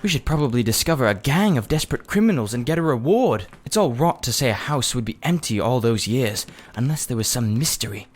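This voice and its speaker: A man voicing an eager, adventurous schoolboy in a children's storybook, with excitable boyish bravado. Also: medium pitch, brisk, few pauses, natural voice. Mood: excited, conspiratorial.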